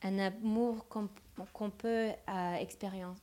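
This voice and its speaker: Slow woman interpreting a sermon. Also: medium pitch, fairly even intonation, warm tone. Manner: translating phrase by phrase with hesitations.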